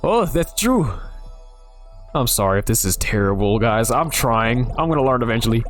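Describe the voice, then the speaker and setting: A man's voice: medium pitch, expressive, moderate pace, soft background music. Jokey young man playing a game. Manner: jokey, profane, mock-awkward. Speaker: gaming video host. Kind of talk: casual gameplay commentary and reading.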